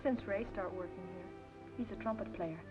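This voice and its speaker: A woman's voice: mid-range voice, a little fast, lightly acted. An actress playing a reserved young working-class woman in a film noir, giving brief, understated replies. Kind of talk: mid-century film noir dialogue acting. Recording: muffled old soundtrack with music.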